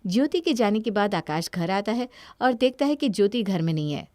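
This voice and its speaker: A woman's voice: mid-range voice, animated, brisk, darkish tone, no room sound, studio quality. A woman narrating a scripted family story.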